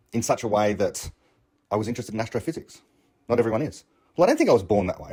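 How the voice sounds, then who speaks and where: A man's voice: mid-range voice, darkish tone, slightly rough. A man with an English accent, thinking aloud in a reflective, argumentative monologue and often asking rhetorical questions. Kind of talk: unscripted monologue on learning and understanding.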